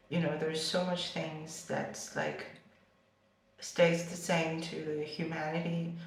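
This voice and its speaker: A young woman whose first language is Chinese, chatting casually about books in a vlog and filling her sentences with fillers and restarts. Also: lowish voice. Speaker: booktuber. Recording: very echoey room, far from the mic.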